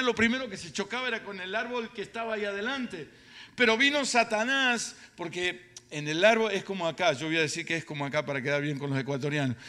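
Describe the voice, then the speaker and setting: Predicador argentino de voz aguda, en sala reverberante. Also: male voice, high voice, sharp tone. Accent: Argentine Spanish. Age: middle-aged or older. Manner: emphatic, repeats phrases for effect. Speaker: preacher. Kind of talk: sermon. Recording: reverberant hall sound.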